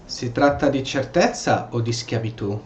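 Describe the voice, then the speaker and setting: A man's voice: mid-range voice, normal speed, darkish tone, lo-fi sound. A man doing let's play commentary and reading out dialogue choices of a role-playing game. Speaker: gaming streamer. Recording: roomy echo, faint background noise.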